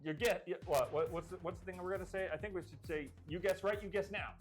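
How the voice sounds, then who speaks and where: Slightly high-voiced, rambling male stream host. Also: fast, soft background music. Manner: rambling, jokey, stumbling restarts. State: getting hoarse. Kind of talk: live stream game show hosting.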